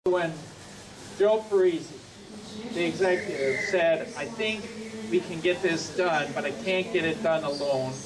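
A man's voice: a little high, unhurried. A middle-aged man making a public speech outdoors at a ribbon cutting. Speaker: ceremony speaker. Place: outdoors at a podium before a crowd. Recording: distant outdoor mic, clear crowd noise.

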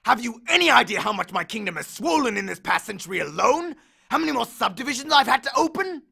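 A man's voice: very high-pitched, very animated, quick pace, vocal fry, bright, forceful tone, slightly rough, voice pushed up, exaggerated voice. A man voicing an angry, aggrieved male god of the dead who bellows complaints and threats in an audiobook. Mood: aggrieved ranting. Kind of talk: audiobook character voice.

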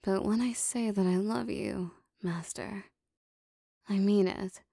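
A lovestruck female demon played by a woman speaks in an unhurried, intimate murmur with a gravelly fry. Her tone is dark and mellow, her phrasing formal and old-fashioned, full of possessive devotion, as in a romantic ASMR audio drama. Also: mid-range voice, fairly even intonation, dry recording, studio quality. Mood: yearning devotion. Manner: soft, breathy, formal archaic phrasing, lingering pauses.

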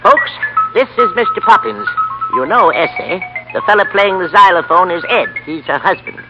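A genial old grandfather in 1930s radio comedy. His pitch swings widely. The old recording is dull, with music behind. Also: huge pitch swings, moderate pace. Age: older. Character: genial, folksy old grandfather, head of household. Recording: muffled 1939 radio broadcast, music behind.

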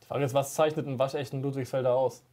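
Quick chatty male co-host. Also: mid-range voice, steady tone, dark tone. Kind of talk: casual chat.

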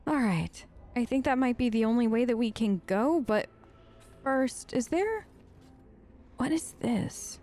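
A woman's voice: on the high side, expressive, unhurried, dark tone, soft background music. A woman giving role-play commentary as she plays a game, with hesitant, conversational asides. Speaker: let's player. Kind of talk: role-play gaming commentary, reading in-game text aloud.

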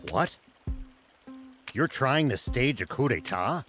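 An actor playing a stern, shrewd older man in a video game, speaking in a serious, deliberate, authoritative way. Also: mid-range voice, very animated, fairly bright tone, soft background music. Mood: probing. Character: stern, shrewd old male lawman. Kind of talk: English video game voice acting.

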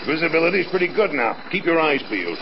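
Commanding colonel with gravelly voice. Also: male voice, fairly high pitch, sing-song, quick pace. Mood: urgent, determined. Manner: firm, authoritative. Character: commanding, determined male air force colonel leading a rescue. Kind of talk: mid-century radio drama acting. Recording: dull, noisy vintage radio recording.

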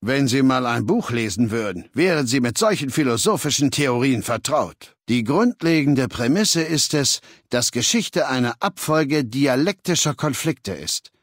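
A learned, ruthless male dictator in a video game. He lectures with articulate condescension at a middling pitch. The recording is dry, with no sense of a room. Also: expressive, a little slow, few pauses, no echo. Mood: condescending, lecturing. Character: cold, learned warlord dictator of a slaver legion.

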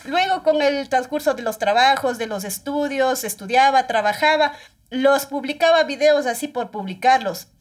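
A young woman with an Ecuadorian Spanish accent, a guest in a studio podcast interview, explaining in an animated, chatty way and checking in with her listener. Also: high-pitched, moderate pace. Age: young adult. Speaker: guest, TikTok creator. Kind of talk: casual interview talk. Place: small podcast studio, indoors. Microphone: studio condenser mic on stand.

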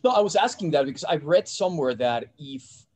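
A man's voice: a little high, fairly quick, no room sound. A middle-aged man from Mexico, a guest talking casually about his life over a video call from a home office. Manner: relaxed, chatty, frequent restarts. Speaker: guest, investment bank founder. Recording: dry video call audio.

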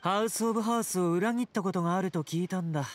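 An actor playing a teasing, playful young fighter in a Japanese game, casual and smooth-talking with an edge. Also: male voice, high-pitched, fast talker, soft background music, lightly acted. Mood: probing. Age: young adult. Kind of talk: Japanese anime-game voice acting.

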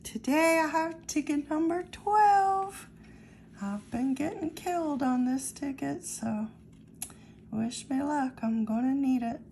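Slow, gravelly high-voiced woman. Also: darkish tone, a little hiss or hum. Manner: unhurried, reading out numbers one by one. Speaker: scratch-off ticket vlogger. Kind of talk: casual talk while scratching lottery tickets.

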